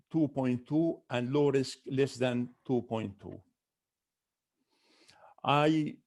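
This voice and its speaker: Brief: male ENT doctor lecturing a webinar, mid-pitched, steady, methodical, with small restarts. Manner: careful, listing symptoms one by one with frequent restarts. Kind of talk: medical lecture.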